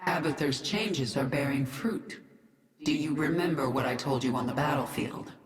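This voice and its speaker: An actress playing a cold, ruthless queen of an alien swarm in a video game, speaking with deliberate command. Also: low voice, close to an everyday voice. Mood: cold, questioning. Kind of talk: video game cutscene acting. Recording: echoey, under music.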